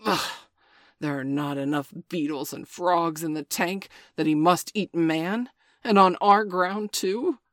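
Proud male wolf played by a woman, loud, curt, stiff, storybook. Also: medium pitch, expressive, very slow, hardly any pauses, breathy edge, dry recording, studio quality, voice pushed up, exaggerated voice. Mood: angry. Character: gruff proud male wolf, father of cubs.

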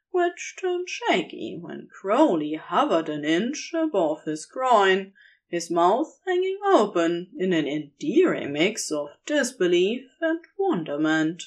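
A woman narrating scripted romantic fan fiction in an intimate storytelling style. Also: medium pitch.

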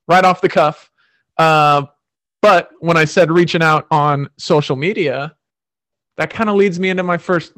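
A man's voice: on the high side, fairly bright tone. Casual rambling podcast host man. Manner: loose, rambling, frequent fillers and restarts.

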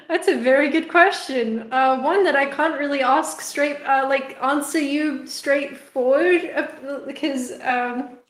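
A woman's voice: high voice, slow pace. A young woman from South Africa with an Australian accent, chatting casually as a podcast guest, giggling and rambling with lots of fillers. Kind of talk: casual chat. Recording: echoey room, faint music behind.

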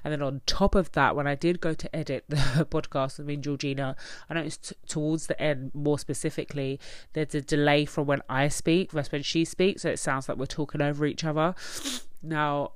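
A woman's voice: sits low, steady tone, fairly quick, clear, resonant tone, no room sound. A young woman podcast host with a nasal, stuffy voice, under the weather, talking earnestly and encouragingly to her listeners. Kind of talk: solo reflective podcast talk.

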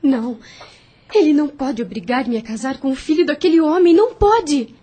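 An actress playing a meek, anxious young farmer's daughter in a radio drama, speaking formally and earnestly. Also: female voice, high voice, moderate pace, close to an everyday voice. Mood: distressed, pleading.

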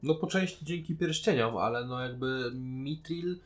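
A man's voice: mid-range voice, a little slow, fairly bright tone, faint music behind. A man, co-hosting a podcast in a casual discussion of a TV series. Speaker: podcast co-host.

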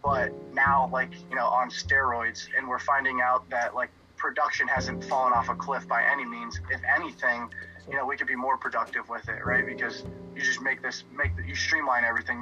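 A man chatting casually on a podcast, rambling through thoughts with frequent restarts and filler words. His voice is mid-pitched and sits on a slightly dull, lo-fi video-call line. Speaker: podcast co-host.

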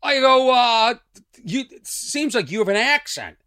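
A man from Massachusetts hosting a podcast and telling comic stories about himself in a loose, animated way. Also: very high-pitched, measured pace, clear, resonant tone, no echo, clean studio sound. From Lexington, Massachusetts. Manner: animated, self-mocking storyteller. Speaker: podcast host. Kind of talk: casual comedic chat.

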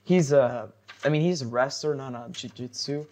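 A man's voice: mid-range voice, normal speed, darkish tone. A teenage boy co-hosting a casual podcast chat, rambling with plenty of fillers and restarts. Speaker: podcast co-host.

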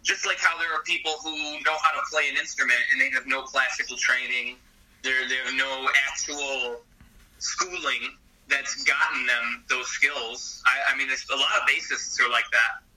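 A man hosting a casual chat, talking in an expressive, animated way. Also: mid-range voice, normal speed, breathy edge, distant mic, low-quality recording. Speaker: podcast host.

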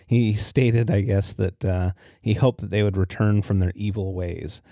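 A man's voice: on the low side, fairly even intonation, unhurried, dark tone, no echo, studio quality. An American man hosting a podcast alone, telling stories in a casual, easygoing way and given to chuckling at his own remarks. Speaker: podcast host. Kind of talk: casual solo storytelling podcast.

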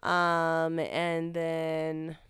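Chatty woman w a super clean voice, a bit low, gushing on the radio. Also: completely flat delivery, fairly bright tone, clean tone, no room sound, clean studio sound. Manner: chatty, enthusiastic, quick laughs. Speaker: radio guest. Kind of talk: casual radio conversation.

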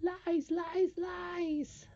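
Lady doing a hoarse high goblin taunting from somewhere, storybook creature, muffled recording. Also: little pitch movement, very slow, dark tone, exaggerated voice. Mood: mocking. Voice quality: hoarse, indistinct. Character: hoarse, indistinct tittering unseen goblin, mocking.

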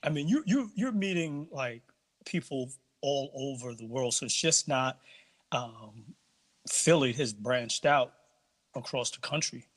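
A middle-aged man from Barbados hosts a loose conversation. He talks in an animated way, jumps in eagerly, and often starts his sentences over. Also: mid-range voice, a little slow. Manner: animated, interjecting, with restarts. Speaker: interview host. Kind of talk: casual interview chat.

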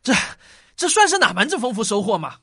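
A man playing a doting, excitable scientist father in a radio drama, stammering in disbelief. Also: extremely high pitch, brisk, husky, exaggerated voice. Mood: indignant disbelief.

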